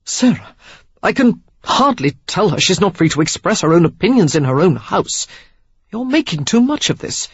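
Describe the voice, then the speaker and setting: Defensive, sulky young man, voiced lightly by a female reader; stiff, chiding tone, breathy edge. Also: fairly low pitch, very animated, normal speed, no echo, dull audio, heavily acted. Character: young man, sulky, mother-bound suitor.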